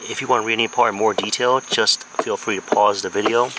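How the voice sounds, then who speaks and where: A man's voice: lowish voice, fairly even intonation, quick pace. An American man in his thirties giving a casual, informal hands-on product review outdoors, with a plain, slightly hesitant way of talking. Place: backyard deck, outdoors. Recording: outdoor noise with insects and traffic.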